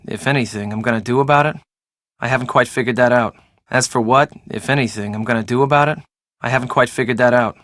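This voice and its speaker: A man's voice: medium pitch, a bit bright, no echo, studio quality. A man playing a blunt, casual young real-estate schemer in a video game, with an offhand, emphatic, conversational delivery.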